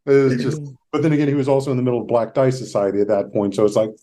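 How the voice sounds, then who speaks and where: A man's voice: medium pitch, fairly even intonation, racing, dark tone. A man hosting a podcast, interviewing his guest in a conversational style. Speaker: podcast host and interviewer, therapist.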